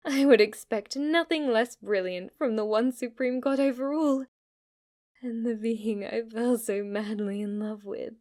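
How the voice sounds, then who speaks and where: A woman's voice: fairly high pitch, a little slow, darkish tone, natural voice. A woman playing a devoted, submissive demon maid in an ASMR roleplay, with a formal, deferential and adoring manner. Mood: rapturous worship. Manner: formal, deferential, breathless adoration, sometimes whispering. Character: devoted, submissive, obsessively worshipful female demon maid servant.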